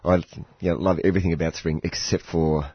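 A very deep, husky Australian man, hoarse from pollen, rambling happily about his garden. He restarts sentences often and laughs between thoughts. The dry, muffled studio sound keeps him close. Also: little pitch movement, fast, dry recording. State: croaky from hay fever. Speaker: horticulturalist radio guest. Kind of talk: casual radio chat.

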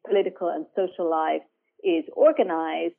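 A woman who grew up in Ireland, an academic guest explaining ideas in a measured, thoughtful way on a radio interview. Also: fairly low pitch, creaky. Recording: phone-line remote interview.

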